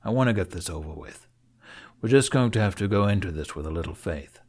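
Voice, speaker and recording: A man's voice: deep voice, expressive, a little fast, darkish tone, breathy edge, exaggerated voice. A man voicing a stern, weary middle-aged Viking chief, curt and decisive, in a fantasy audiobook. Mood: commanding, impatient.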